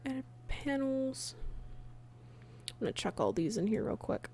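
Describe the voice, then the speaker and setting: A woman chatting casually on a livestream as she works, halting and half talking to herself in an absent-minded way. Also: sits high, sing-song, darkish tone, a little hiss or hum. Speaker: livestreamer and comic dub creator. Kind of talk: casual livestream chat while working. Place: indoors at a computer, streaming.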